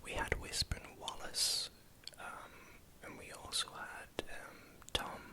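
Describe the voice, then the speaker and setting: A man with a Geordie accent from northeast England, speaking as an ASMR creator in a hesitant, halting way while recounting things. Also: bright, forceful tone. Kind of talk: whispered ASMR vlog, recounting.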